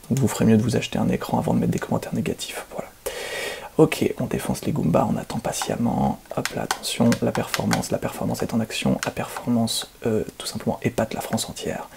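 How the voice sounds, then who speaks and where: Smug male speedrunner, played by a man for ASMR roleplay: hushed, heavily creaky, hesitant yet self-important, slight background noise. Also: on the low side. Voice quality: soft, breathy, half-whispered, creaky. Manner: smug, hesitant, repeats pet phrases. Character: boastful, excuse-making, obnoxious male video game speedrunner. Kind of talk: ASMR roleplay, soft gaming commentary.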